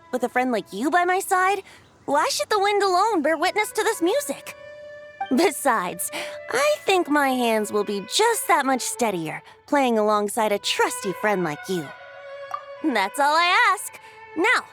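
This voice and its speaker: A carefree young male bard from a fantasy game. The voice is high and very melodic, teasing and poetic in flowery phrases, over background music. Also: fairly bright tone, heavily acted. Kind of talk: scripted game character dialogue.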